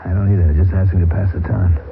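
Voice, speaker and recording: A man's voice: low voice, steady tone, quick pace, vocal fry. An actor playing a cautious, brooding male copilot in a 1970s radio drama. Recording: tinny lo-fi old radio broadcast, hissy.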